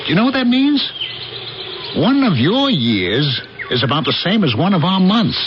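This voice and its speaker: A frustrated working man in his thirties in an old radio play. His pitch swings widely and he stammers as he gets worked up. The recording is dull, with noisy ambience behind him. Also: moderate pace. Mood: anxious, apologetic. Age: 30s. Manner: hesitant, stammering, excitable. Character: anxious, frustrated 35-year-old everyman worker mistaken for a god. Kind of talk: 1980s radio drama acting.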